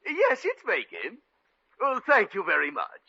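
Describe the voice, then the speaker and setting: An actor playing a flustered, obsequious night hotel manager, stammering and fussy, in 1950s radio comedy style. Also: male voice, high voice, wildly swooping pitch, a little slow, gravelly, bright, forceful tone, slightly rough, heavily acted. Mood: flustered, deferential. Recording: dull old radio recording.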